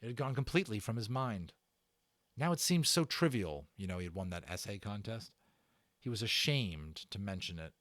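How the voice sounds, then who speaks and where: A man giving an expressive, dramatic read-aloud of a children's book as narrator. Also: on the low side, normal speed, no room sound, studio quality. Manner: expressive, performed reading. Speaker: narrator and voice actor.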